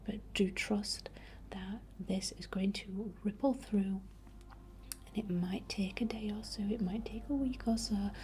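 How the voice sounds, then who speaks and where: Softly whispering British woman, mellow and breathy. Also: mid-range voice, slight background noise. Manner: soothing, reassuring. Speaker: ASMR host, spiritual healer. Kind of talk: ASMR, guided healing ritual.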